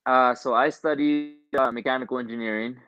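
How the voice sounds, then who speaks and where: A young man from Southern California answering interview questions in a relaxed, hesitant way, with many ums and restarts. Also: mid-range voice, a little fast, lo-fi sound, faint music behind. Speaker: guest, professional soccer player.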